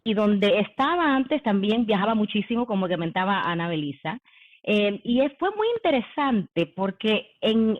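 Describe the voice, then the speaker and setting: Dominican-born woman in her forties. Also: mid-range voice. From Dominican Republic. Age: 40s. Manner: warm conversational, frequent "em" and "eh" fillers. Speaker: company executive giving a leadership talk. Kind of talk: personal storytelling talk. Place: video call. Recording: phone-like online meeting audio.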